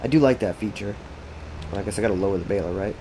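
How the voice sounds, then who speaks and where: A man's voice: on the low side, very fast, background noise. A congested, sniffly man giving rambling, casual commentary while playing a farming game, thinking aloud to himself and his chat. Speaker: gaming streamer.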